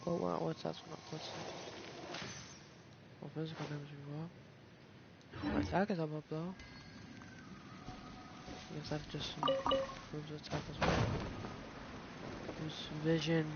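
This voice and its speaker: A man's voice: on the high side, flat delivery, takes it slowly, gravelly, long pauses, heavy background noise. A young man giving casual, slangy gameplay commentary, half muttering to himself. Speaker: game streamer. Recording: muffled, with loud game music. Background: loud game music.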